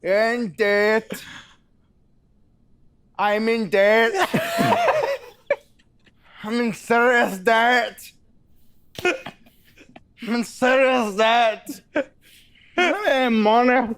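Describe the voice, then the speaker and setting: A woman's voice: fairly high pitch, very slow, pauses often, fairly bright tone, clean tone. A woman performing improv comedy on a game show, playing silly repeated bits and laughing often.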